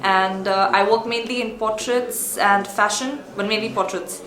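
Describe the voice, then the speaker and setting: A woman's voice: mid-range voice, steady tone, moderate pace, fairly bright tone. A woman in her twenties from India with an Indian accent, fluently explaining her portrait work. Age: 20s. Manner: fluent and articulate. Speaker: photography student. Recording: echoey room with crowd. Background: crowd chatter.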